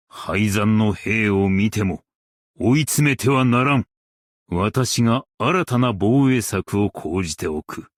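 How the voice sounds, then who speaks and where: A man playing a principled, stern yet merciful scholar-strategist in a game, speaking in a formal, archaic and measured way. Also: lowish voice, no echo, clean studio sound. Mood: commanding, resolute. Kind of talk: Japanese game character voice lines.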